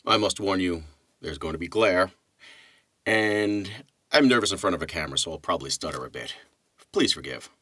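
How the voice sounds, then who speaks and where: A man who narrates and teaches literature, reading poetry and talking casually with deliberate, dramatic phrasing. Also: low voice, lively intonation, pushed and bright, dry recording, studio quality.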